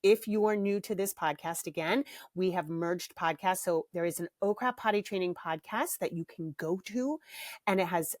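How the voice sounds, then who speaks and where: Low-voiced, chatty woman podcast host. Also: darkish tone, no echo, clean studio sound. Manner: candid, chatty, laughs easily. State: slight cough from bronchitis. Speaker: podcast host and parenting author. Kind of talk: casual solo podcast chat.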